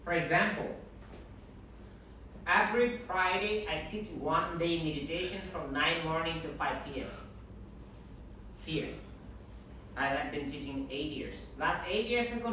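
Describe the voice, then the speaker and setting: A man, a Buddhist monk, teaching a group in slow, emphatic, non-native English and leading them in repeating terms. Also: high voice, pushed and bright. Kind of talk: teaching a group, call and response. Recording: echoey room, from far off, with noise.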